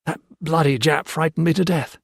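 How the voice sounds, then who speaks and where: A man's voice: on the high side, fairly even intonation, normal speed, creaky, husky, no echo, voice pushed up. A young British prisoner speaks weakly through a fever, played by a male narrator. The voice is raised from the narrator's own. Recorded close and dry. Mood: weak, feverish. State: feverish, weak.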